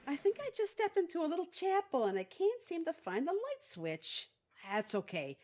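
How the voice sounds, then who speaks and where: Animated, kvetching older widow. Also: female voice, extremely high pitch, moderate pace, slight background noise, exaggerated voice. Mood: exasperated, wry. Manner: kvetching, folksy, Yiddish-peppered. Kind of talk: audio theatre comedy acting.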